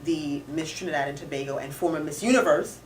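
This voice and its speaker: A woman with a somewhat low voice tells a story in a casual chat, correcting her co-host as she goes. She is heard from a distance in an echoey room. A faint hiss sits under her voice. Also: fast.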